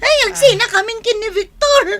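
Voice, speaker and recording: A woman playing a distraught, complaining young wife in a radio drama, with a shrill, tearful voice and a Filipino accent. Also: brisk, crowd noise. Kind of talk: Ilocano radio drama acting.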